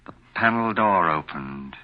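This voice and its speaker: An actor playing an anxious, guilty murderer in a 1940s radio thriller, with a halting, melodramatic delivery. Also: male voice, sits low, lively intonation. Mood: haunted, dramatic. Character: anxious guilty husband, furtive murderer narrating his story. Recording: muffled 1940s radio broadcast, music behind.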